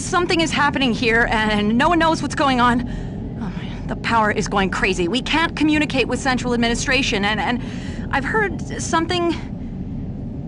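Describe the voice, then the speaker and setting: A woman's voice: fairly high pitch, close to an everyday voice. A woman playing a frightened lab worker alone on Mars, stammering and panicky in a game audio log. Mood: anxious. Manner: halting, stammering. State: scared, panicky. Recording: heavy noise over distress log.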